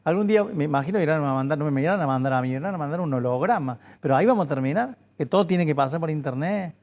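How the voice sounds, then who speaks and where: A man's voice: on the high side, expressive, rapid-fire, darkish tone. A man, a bookseller guest giving earnest interview answers and insisting on his points over and over. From Argentina. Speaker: bookseller association president, guest.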